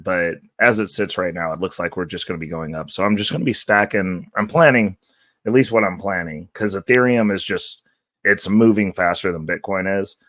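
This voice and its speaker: A man hosting a casual vlog, hoarse from losing his voice, talking in an upbeat, loose, rambling way. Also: deep voice, sharp tone, no echo, clean studio sound. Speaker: channel host. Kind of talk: casual market-update vlog.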